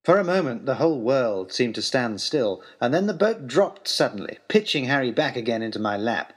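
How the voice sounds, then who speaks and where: Expressive, eloquent gentleman adventurer acted by a man. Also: mid-range voice, normal speed, slightly rough. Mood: urgent, dramatic. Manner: literary, wonderstruck, expressive storyteller. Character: Timothy Hope, earnest, eloquent gentleman adventurer writing letters home. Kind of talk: dramatised first-person letter narration.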